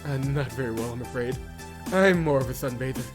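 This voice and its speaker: A man's voice: medium pitch, brisk, lightly acted. A man voicing a flustered, eager young female employee in a visual novel, nervous, stammering and deferential. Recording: loud game music behind voice.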